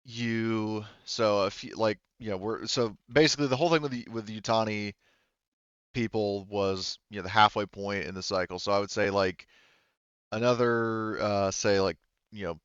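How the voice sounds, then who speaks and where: A man talking casually as game master, halting and often backtracking as he addresses players. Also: lowish voice, fairly even intonation, a bit bright, clean tone, no room sound, highs cut off. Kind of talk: casual game-running talk.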